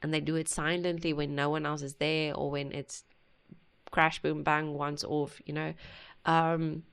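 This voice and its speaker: A woman hosting a music reaction video, talking earnestly and haltingly with many pauses. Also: deep voice, level tone, vocal fry, a bit bright, clean tone. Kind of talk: casual reaction commentary.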